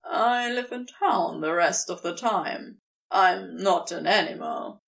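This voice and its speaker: Lady doing a cocky demon guy for an audio story, dropped low, teasing, casual, slow. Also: gravelly, a bit bright, distant mic, exaggerated voice. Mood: casual, flustered. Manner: casual, teasing, self-correcting rambling. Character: cocky, teasing male demon lover with snake side.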